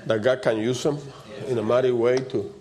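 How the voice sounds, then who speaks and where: A man with a loud voice and preaches in an informal, conversational way, repeating short phrases for emphasis. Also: mid-range voice, steady tone, moderate pace. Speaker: preacher leading a church service.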